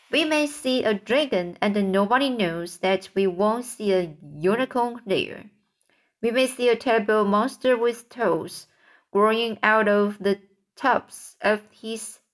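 A woman voicing an excitable, boastful male centipede in a storybook, reciting rhyming verse in a sing-song way. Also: mid-range voice, close to an everyday voice.

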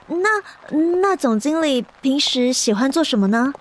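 An actress playing a flustered, eager young office employee with a crush in a romantic comedy radio drama, hesitant and full of little interjections. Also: female voice, high-pitched, expressive, takes it slowly. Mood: shy, flustered. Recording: roomy reverb, music behind.

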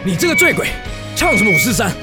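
A crude, combative karaoke patron in an audio drama. The voice is very high and wildly animated, echoing over loud music. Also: male voice, fast talker. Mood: angry heckling. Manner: combative, swearing. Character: brash, crude, heckling karaoke bar patron.

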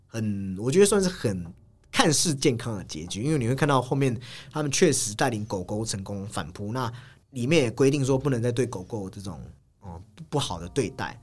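A man hosting a film discussion, explaining and analyzing in an explanatory way, often repeating words and phrases. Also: mid-range voice. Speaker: film podcast host.

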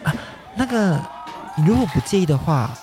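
A man's voice: sits high, big pitch swings, moderate pace, music in the background, a crowd in the background. A man playing an eager, chatty stranger who flirts shyly in a radio drama, friendly and a little hesitant. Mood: shyly flirting. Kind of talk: romantic radio drama acting.

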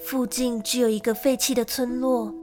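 An actress playing a lonely young gentlewoman who tells of a frightening childhood in an emotive radio drama style. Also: a little high, little pitch movement, moderate pace, soft background music, close to an everyday voice. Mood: wistful. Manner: storytelling, emotive.

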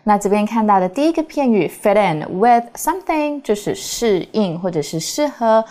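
A woman's voice: mid-range voice, expressive, normal speed, dark tone. A woman with a Taiwanese accent, a native Mandarin speaker, co-hosting an English lesson in a casual, explanatory way. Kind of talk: casual chat and bilingual explanation.

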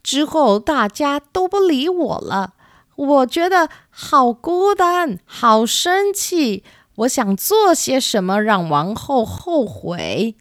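A woman voicing a vengeful, scornful dark witch with a theatrical, taunting manner in a children's storybook audio drama. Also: sits high, sing-song, takes it slowly, dry recording, studio quality, pitched above the performer's own voice, exaggerated voice. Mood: bitter.

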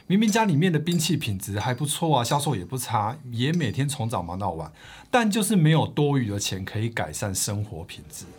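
A man's voice: medium pitch, big pitch swings, a little fast, darkish tone, husky. A man co-hosting a book podcast, reading scripted narration as a storyteller.

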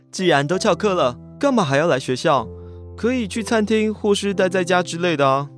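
A lively, teasing schoolboy, Taiwanese Mandarin. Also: male voice, high-pitched, moderate pace, faint music behind. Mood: curious, friendly.

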